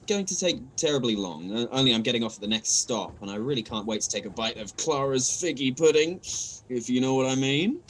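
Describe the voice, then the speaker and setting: A man acting a cheerful, naive, excitable nephew in a comic radio play, gushing and exclamatory. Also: medium pitch, a little fast, a little hiss or hum, natural voice, low-quality recording. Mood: jovial.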